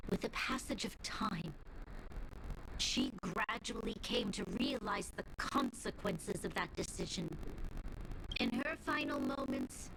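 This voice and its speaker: A woman's voice: rumbling low, gravelly, a little hiss or hum, heavily acted, rough recording. A woman playing a young, resolute leader giving a solemn, earnest inaugural speech in a fantasy game. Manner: solemn, earnest, measured oratory. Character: young female supreme guardian, solemn, resolute leader addressing her people. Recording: game audio with loud music underneath. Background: prominent game soundtrack music.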